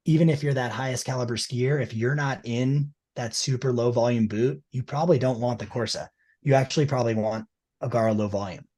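A man's voice: mid-range voice, fairly even intonation, brisk, mellow. A man with a mid-range voice, explaining things keenly and at length. He talks casually and fills his sentences with "you know" and "like". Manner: enthusiastic, explanatory, many fillers. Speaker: ski boot liner maker representative. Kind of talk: casual interview chat.

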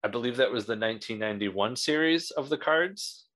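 A man's voice: mid-range voice, lively intonation, a little fast, a bit bright. A man hosting an interview, chatting like a fan with many fillers and restarts. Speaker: podcast host. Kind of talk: casual interview.

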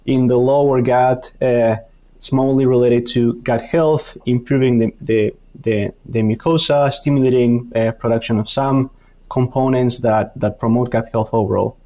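A man's voice: mid-range voice, fairly even intonation, normal speed, mellow. Argentine man explaining in an interview. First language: Spanish. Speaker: guest, university dairy researcher.

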